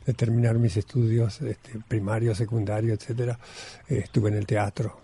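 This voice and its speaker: A man's voice: on the low side, level tone, normal speed, mellow, a little hiss or hum. A man with an Argentine accent, a Zen monk being interviewed, talking reflectively and searching for words, often repeating a phrase. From Buenos Aires, Argentina. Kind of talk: reflective conversation.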